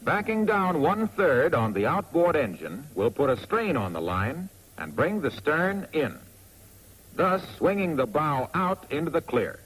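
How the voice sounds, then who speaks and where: Lively, higher-pitched American 1940s film narrator, man. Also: husky, low-quality recording. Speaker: narrator of a military training film. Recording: vintage 1940s film soundtrack.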